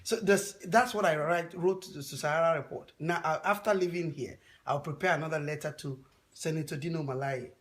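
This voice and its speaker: A man with a high voice explains his plans earnestly, pausing and restarting as he goes. He talks casually within a group. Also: a bit bright. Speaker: discussion participant. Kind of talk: casual chat.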